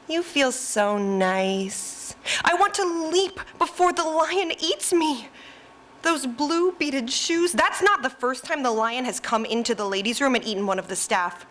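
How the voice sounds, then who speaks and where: A brazen librarian, high flirty voice, sly and suggestive. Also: female voice, fairly bright tone. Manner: sly, suggestive, quick-talking. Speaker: stage actor in a live radio play.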